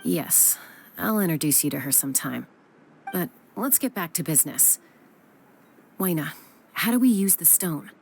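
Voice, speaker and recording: Low, cool, composed peacekeeper. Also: female voice, lively intonation, moderate pace, gravelly, long pauses, a little mellow, slight background noise. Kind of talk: English video game voice acting. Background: music.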